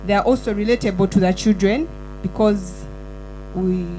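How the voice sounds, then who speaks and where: A woman from Uganda, an engineer and founder, giving an earnest startup pitch with small slips and self-corrections. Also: fairly even intonation, normal speed, warm tone, a little hiss or hum, poor audio. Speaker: entrepreneur pitching at a summit.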